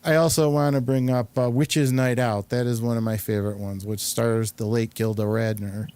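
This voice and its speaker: A man hosting a casual group chat, halting and often searching for his words. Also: medium pitch, little pitch movement, a little mellow, smooth, dry recording. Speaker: host.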